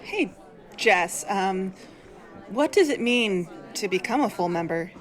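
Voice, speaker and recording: Woman doing unsure newcomer girl in audio drama, talks slow, expressive pitch, curious. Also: faint music behind, some chatter behind. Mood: tentative.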